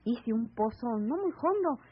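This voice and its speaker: A woman's voice: on the high side, sing-song, normal speed, husky. An actress with a Mexican accent playing a naive, anxious country woman in a radio serial, hesitant and emotional. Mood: embarrassed. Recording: muffled, lo-fi old AM radio recording.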